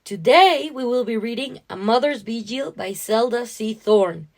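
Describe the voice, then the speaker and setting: A woman's voice: a little high, animated, measured pace. A woman co-hosting a podcast, speaking casually and narrating stories. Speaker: podcast co-host and story reader. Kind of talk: casual chat and story narration.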